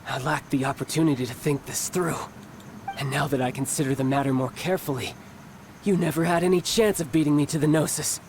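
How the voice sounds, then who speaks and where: An actor playing a confident, battle-hungry young male villain in a video game cutscene, speaking in a measured, formal and smug manner. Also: a little high, steady tone, a little mellow, a little hiss or hum, close to an everyday voice. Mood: smug, composed.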